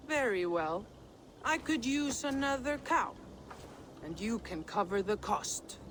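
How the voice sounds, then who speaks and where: A woman's voice: fairly high pitch, expressive, takes it slowly, fairly bright tone, a little hiss or hum. A woman playing a brazen, sly mercenary leader in a video game, speaking in a teasing, theatrical drawl. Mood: sly bargaining. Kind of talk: dramatic video game acting.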